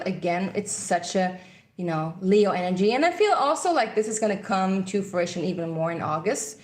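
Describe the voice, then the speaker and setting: A woman's voice: medium pitch, reverberant. A woman with a Swedish accent gives a casual tarot reading. She meanders through her intuitions and repeats key phrases. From Sweden. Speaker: tarot reader.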